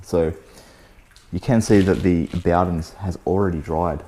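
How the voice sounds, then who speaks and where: A man with a lowish, mellow voice that has gone slightly hoarse. He talks in a relaxed, chatty way as he walks through a car-care test, filling gaps with easy "so yeah" asides. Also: measured pace. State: losing his voice, a bit hoarse. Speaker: car detailing channel host. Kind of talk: casual product-test vlog narration. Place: outdoors beside a test panel.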